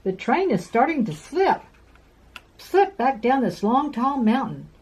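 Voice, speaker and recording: A woman voicing an alarmed railway flat car calling out warnings in a children's storybook. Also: high voice, a little slow, husky, voice pushed up, heavily acted, low-quality recording. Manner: urgent, calling out.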